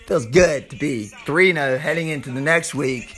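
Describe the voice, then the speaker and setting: Hyped southern guy doing a sports podcast, kinda hoarse, repeats himself, bit high voice, music under it. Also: a bit bright. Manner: hyped, brash showman with drawn-out words; repeats phrases for emphasis. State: slightly hoarse. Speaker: podcast host. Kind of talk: informal sports podcast monologue.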